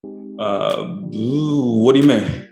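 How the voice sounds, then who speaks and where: A man's voice: mid-range voice, takes it slowly, mellow, reverberant, soft background music. A man acting in an audio drama as an evasive, defensive boyfriend who is reluctant to commit. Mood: casual, evasive.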